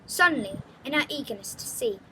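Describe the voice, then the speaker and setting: A woman reading a children's book aloud as an expressive storytelling narrator. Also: a little high, fairly quick, noisy background.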